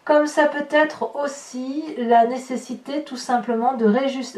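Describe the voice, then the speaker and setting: A French-accented woman reading tarot cards for viewers, talking her way through each card with hesitant pauses and repeated words. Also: a little high, darkish tone. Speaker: tarot reader. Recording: echoey room, voice from far off.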